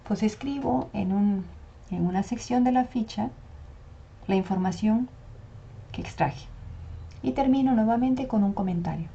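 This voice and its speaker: Dark-voiced woman giving a methodical lecture. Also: medium pitch, unhurried, creaky, long pauses, slight background noise. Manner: methodical, explains step by step, asks and answers her own questions. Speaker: university lecturer. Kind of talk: online lecture with slides. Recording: dull lo-fi audio.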